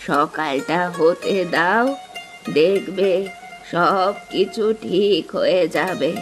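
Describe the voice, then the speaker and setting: A woman playing a kindly old village woman in an acted story, consoling and reassuring in a drawn-out way. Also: fairly low pitch. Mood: soothing, assured. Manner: slow, consoling, drawn-out delivery. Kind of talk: acted Bengali moral story dialogue. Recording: music behind the voice.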